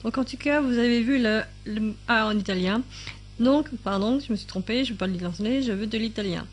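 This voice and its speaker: A woman's voice: a little high, brisk. A woman giving a casual tutorial while thinking aloud at her computer, with many fillers, now and then sounding out translated subtitles in other languages. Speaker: YouTube tutorial maker. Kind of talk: casual screen-recording tutorial.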